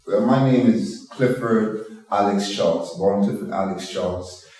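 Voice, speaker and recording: A middle-aged man, a dancehall artist, telling his story in a calm, measured way and often adding "you know". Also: on the low side. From Guyana. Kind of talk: reflective interview storytelling. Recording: echoey room, mic far off.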